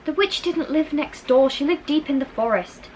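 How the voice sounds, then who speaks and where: A woman reading a storybook, voicing a bookish eleven-year-old girl who is prim, earnest and quick to correct. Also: sits high, darkish tone, a little hiss or hum, pitched above the performer's own voice, natural voice. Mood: disapproving, earnest. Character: bookish, disapproving eleven-year-old schoolgirl twin sister. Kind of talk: children's storybook character reading.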